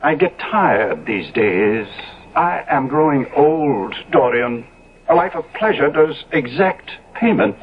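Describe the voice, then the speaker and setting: Radio play: jaded ageing lord, urbane and wry, lively inflection, narrow phone-like old sound. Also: male voice, on the high side, slow pace, a crowd in the background. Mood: weary, wry. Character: weary, ageing, decadent English aristocrat, worldly and wry. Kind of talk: 1970s radio drama acting. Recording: tinny old radio recording, crowded background.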